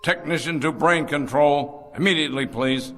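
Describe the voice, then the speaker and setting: An actor playing a cold, pompous factory boss in a radio drama, with a theatrical, condescending manner. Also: male voice, on the high side, moderate pace, music in the background, close to an everyday voice. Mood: brisk, officious.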